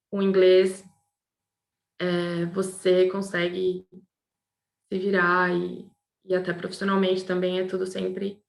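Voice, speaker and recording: A woman's voice: on the low side, creaky, a bit bright. A woman from Brazil, an architect, talking casually and thoughtfully with many fillers and restarts. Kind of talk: casual recorded talk to students. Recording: echoey room.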